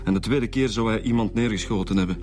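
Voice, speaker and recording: An actor with a Flemish accent playing a knowing, matter-of-fact police detective in a radio drama. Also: male voice, on the low side, fast talker, music in the background, natural voice. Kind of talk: naturalistic radio drama acting.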